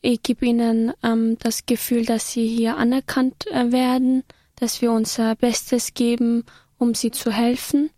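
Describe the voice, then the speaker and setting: A woman guest in a radio interview, explaining simply and conversationally with halting, careful phrasing. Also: fairly high pitch, flat delivery, dark tone, dry recording, clean studio sound.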